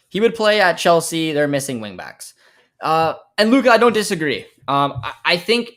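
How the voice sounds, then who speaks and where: A man's voice: a little high, fairly bright tone. A young American man hosting a live football watchalong, chatting casually with his viewers, reacting to the play and thinking aloud with lots of fillers. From United States. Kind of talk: casual live match commentary and chat reading.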